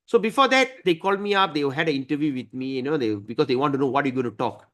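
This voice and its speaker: Animated man teaching scripture. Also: on the high side, fast talker. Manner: animated, emphatic, with frequent "right?" to listeners. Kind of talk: scripture study class.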